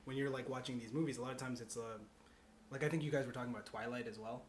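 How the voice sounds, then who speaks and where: A man's voice: mid-range voice, steady tone, racing. A man chatting casually as a podcast guest, explaining his ideas in a rambling, conversational way. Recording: clear background noise.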